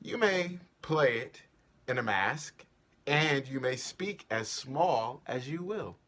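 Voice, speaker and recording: Man as a fussy stage director, lively pitch. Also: takes it slowly, heavily acted. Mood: instructive. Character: fussy, businesslike male carpenter directing amateur players. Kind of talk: theatrical Shakespeare staged reading.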